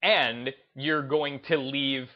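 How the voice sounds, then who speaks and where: Slow-paced man, emphatic, slightly dull audio. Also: mid-range voice, steady tone. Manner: emphatic, deliberate, stressing points with short declarations. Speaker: gaming video host. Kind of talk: opinionated unscripted commentary.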